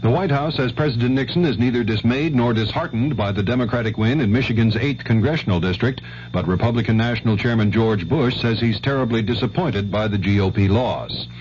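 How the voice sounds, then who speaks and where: An American male anchor reads network news from a script, his pitch in the middle range. He is heard through a dull 1970s radio broadcast with a little background noise. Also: darkish tone.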